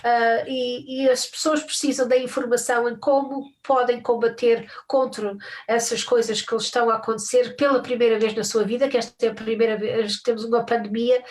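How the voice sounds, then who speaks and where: A woman's voice: on the high side, little pitch movement, a little fast, few pauses, darkish tone. An older woman hosting a live stream from home over a video call, chatting casually, with frequent restarts and little laughs.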